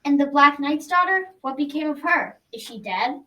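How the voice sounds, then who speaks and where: A woman's voice: high-pitched, expressive, normal speed, pushed and bright, poor audio. A young adolescent girl playing a bold, proud young male knight in a declamatory school radio drama style.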